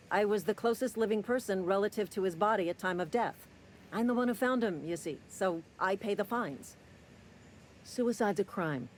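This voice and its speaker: A woman playing a gruff, jaded company-town worker, curt and deadpan, in a video game. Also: mid-range voice, a little hiss or hum, lightly acted. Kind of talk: video game dialogue acting.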